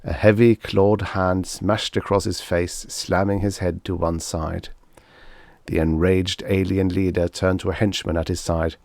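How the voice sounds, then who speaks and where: A man's voice: sits low, little pitch movement, measured pace, creaky, a little mellow, dry recording, clean studio sound. A man reading a scripted story as an audiobook narrator.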